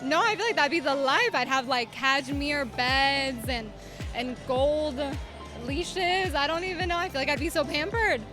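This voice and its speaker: High-voiced young woman, slight Southern accent. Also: unhurried. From Cuba. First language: Spanish. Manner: upbeat, chatty, polite. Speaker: pageant contestant. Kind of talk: casual interview answers. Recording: music playing behind.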